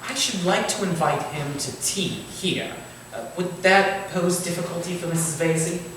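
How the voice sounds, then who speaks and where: A man playing a courteous, eager Victorian gentleman writer with a genteel, theatrical manner in a staged play reading. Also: high-pitched, moderate pace. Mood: polite, eager. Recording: very echoey hall, distant mic, faint noise.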